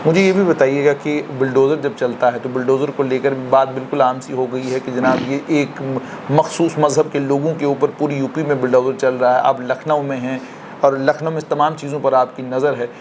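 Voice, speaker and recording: A man's voice: medium pitch, fairly even intonation, moderate pace, noisy background. A man working as a news interviewer, putting long, polite, formal questions in Urdu-leaning phrasing.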